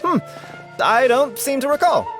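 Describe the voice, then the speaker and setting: A man's voice: very high-pitched, very animated, a little slow, music in the background, full character voice. A blustery game townsman. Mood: boastful. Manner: blustery, self-assured. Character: boastful, blustery townsman gossip.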